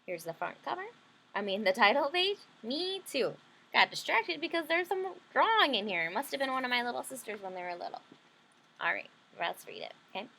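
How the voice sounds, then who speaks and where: A woman's voice: on the high side, very animated, fairly quick, gravelly, sharp tone, rough recording. A woman leading an interactive read-aloud lesson for children, explaining simply and often checking in with questions. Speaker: teacher reading a picture book to children.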